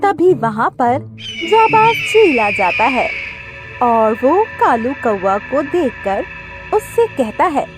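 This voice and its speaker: A woman narrates a children's story in a high, very lively voice. She takes it slowly, with music playing behind her.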